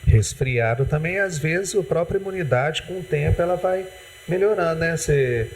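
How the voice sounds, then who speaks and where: A man's voice: fairly high pitch, expressive, moderate pace, slightly rough, poor audio. A man with a Brazilian accent hosting a TV health interview, asking rambling, hesitant questions full of "né". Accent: Brazilian Portuguese. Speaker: TV host. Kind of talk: interviewing a guest on a community TV health segment. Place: TV studio.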